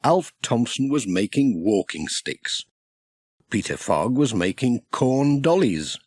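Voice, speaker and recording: Animated male children's storyteller. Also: medium pitch, slow, breathy edge, no echo, studio quality. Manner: expressive storyteller. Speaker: audiobook narrator. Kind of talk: scripted children's story narration.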